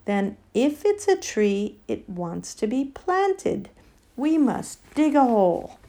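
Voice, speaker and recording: A woman voicing a dignified, thoughtful and self-satisfied she-elephant from a storybook, with polite, deliberate phrasing. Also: a little high, sing-song, warm tone, voice pushed up, exaggerated voice. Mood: decisive. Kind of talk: storybook character voice.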